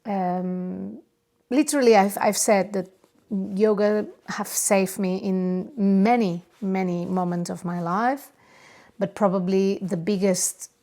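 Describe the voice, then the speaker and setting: A woman with a Spanish accent speaks in a mid-range voice at a very unhurried pace. She is a non-native speaker hosting an unscripted, personal podcast. Her delivery is halting, with searches for the right word. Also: mid-range voice, dark tone. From Barcelona area, Spain. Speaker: podcast host. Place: home or private studio.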